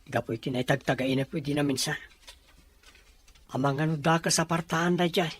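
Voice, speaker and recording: A man's voice: fairly high pitch, normal speed, husky, no room sound. Man playing worried young man, Filipino accent, earnest devoted son, radio drama. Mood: troubled. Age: young adult. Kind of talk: amateur Ilocano radio drama acting.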